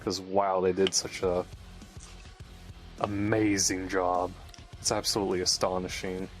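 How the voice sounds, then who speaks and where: Slow-talking, low-pitched man unboxing on camera. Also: flat delivery, soft background music. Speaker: host of a game unboxing video. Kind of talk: casual unboxing commentary.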